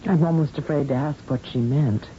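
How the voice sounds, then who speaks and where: A woman's voice: a little fast, natural voice. An actress playing an anxious, thoughtful female scientist in a radio drama, speaking in a worried, tentative way. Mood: anxious dread. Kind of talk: 1970s-style radio drama acting. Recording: muffled, noisy old radio broadcast with rumble.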